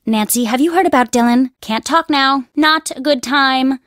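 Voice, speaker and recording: A woman playing an anxious young dig worker in a video game, expressive and conversational. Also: sits high, moderate pace, no echo, studio quality. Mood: brisk, casual.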